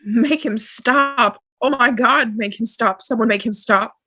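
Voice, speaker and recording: A woman's voice: fairly high pitch, a little slow, sharp tone, dry recording. A woman playing a stubborn, panicky, bossy wife in an amateur drama table read, insistent and scolding. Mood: panicked.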